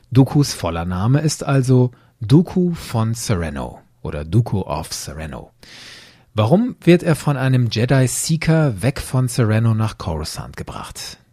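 A man's voice: medium pitch, warm tone, slightly rough, no echo, studio quality. A man hosting a podcast, narrating and explaining, posing questions and answering them. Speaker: podcast host.

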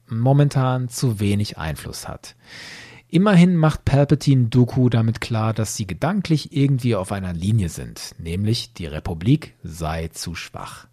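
Animated, husky podcast narrator, male. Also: medium pitch, no echo, studio quality. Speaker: podcast host. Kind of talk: scripted podcast narration and commentary.

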